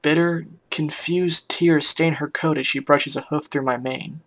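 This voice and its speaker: A man voicing a heartbroken, weary pony musician in a hushed, halting, emotional first-person story reading. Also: on the high side, fairly even intonation. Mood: tearful, confused. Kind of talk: dramatic first-person fan fiction reading.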